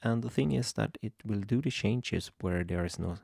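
A young man from Sweden with a Swedish accent, hesitantly walking through a software tutorial at his computer, with many ums and restarts. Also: fairly low pitch, normal speed, gravelly, slightly rough, no echo, clean studio sound. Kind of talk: screen-recorded software tutorial. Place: home room at a computer.

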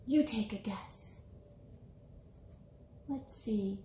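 Woman, storytime reader, very slow delivery, sing-song, questioning listeners, roomy echo. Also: mid-range voice, dark tone, a little hiss or hum. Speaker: children's author reading a picture book aloud. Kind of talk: animated read-aloud storytime for young children. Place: small indoor room, seated before a bulletin board. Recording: roomy small-room echo.

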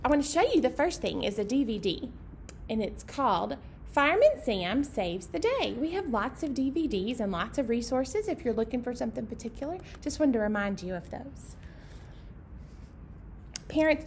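A woman's voice: on the high side, darkish tone. A woman in her thirties presenting a storytime as a children's librarian, speaking simply to young children and their parents. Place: meeting room indoors. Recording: clear background noise.

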